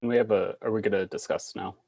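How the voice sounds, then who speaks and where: A man at a public committee meeting on a video call, making his argument in an earnest, deliberate way. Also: on the low side, steady tone, darkish tone, husky, dry recording. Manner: earnest, deliberate, argues a point. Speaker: committee member.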